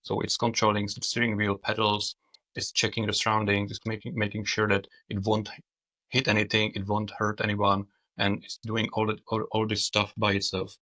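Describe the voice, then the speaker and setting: A man's voice: lowish voice, completely flat delivery, normal speed, pushed and bright. A man from the Czech Republic, a guest on a video-call interview, explaining things in a hesitant way with many restarts. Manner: hesitant, frequent restarts and fillers. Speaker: guest, autonomous vehicle expert. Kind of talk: conversational interview.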